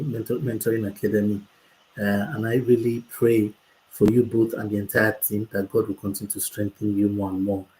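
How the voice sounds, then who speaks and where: A man, a cybersecurity coach, explaining on an online panel in a lively way, building his point with comparisons and restarting phrases. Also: on the low side, slight background noise. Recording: echoey video call audio.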